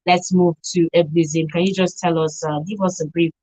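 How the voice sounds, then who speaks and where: A woman's voice: on the low side, flat delivery, moderate pace, warm tone, dry recording, low-quality recording. A woman with a Nigerian accent presenting live as a radio show host. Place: radio studio.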